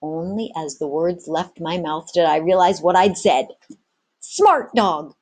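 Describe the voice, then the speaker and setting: A woman reads an adventure story aloud in the manner of a teacher. Her voice is somewhat low and husky, with expressive narration. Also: unhurried.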